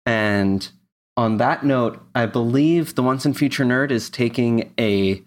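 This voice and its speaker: A man's voice: mid-range voice, measured pace. A man co-hosting a podcast, chatting casually and reading out announcements. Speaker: podcast co-host.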